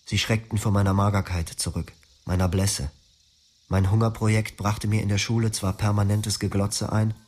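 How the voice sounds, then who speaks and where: An actor voicing a young man who recalls his starving youth in a flat, confessional radio-drama monologue. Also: on the low side, close to an everyday voice.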